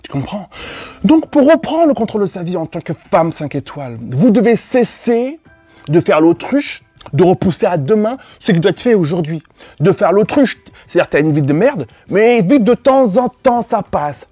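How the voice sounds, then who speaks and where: A male life coach with a husky, mellow voice lectures his listeners bluntly, peppering his talk with swearing and repeated points. His pitch swings widely as he prods them with questions, and the dry recording has faint music behind it. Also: sing-song, normal speed, dry recording. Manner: blunt and profane, with emphatic repetition; talks straight to the listener, prodding with questions. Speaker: dating and life coach for women. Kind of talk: motivational monologue addressed to viewers.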